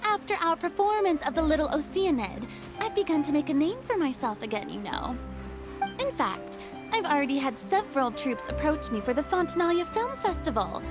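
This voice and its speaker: A woman's voice: sits high, very animated, a little fast. A woman voicing a vain, theatrical young star who talks in a haughty, dramatic, self-important way, in a video game. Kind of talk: game voice acting. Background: game music.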